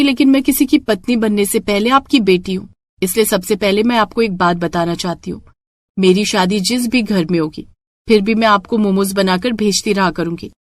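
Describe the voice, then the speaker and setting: Woman as earnest young daughter, medium pitch. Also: dry recording, lightly acted. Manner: earnest, respectful. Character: devoted, self-reliant young daughter. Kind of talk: Hindi moral cartoon story acting.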